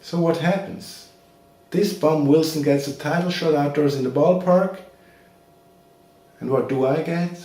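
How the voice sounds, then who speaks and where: A man's voice: fairly high pitch, a little slow, pauses often, mellow, natural voice. A man performing a monologue as a bitter, washed-up boxer, plain-spoken and aggrieved. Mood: wounded, bitter. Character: bitter washed-up boxer reproaching his brother. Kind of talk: theatrical monologue. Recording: very echoey room, distant mic.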